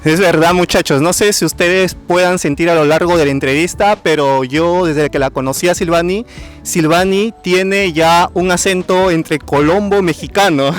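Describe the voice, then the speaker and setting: Brief: male host, fairly high voice, upbeat and exclamatory, faint music behind. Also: moderate pace, clean tone. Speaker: YouTube channel host. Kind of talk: friendly interview hosting.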